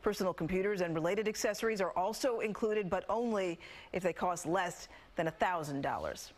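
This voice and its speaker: A woman's voice: fairly low pitch, a little fast. A woman with an American accent reads scripted TV news as an anchor. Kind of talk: scripted newscast narration.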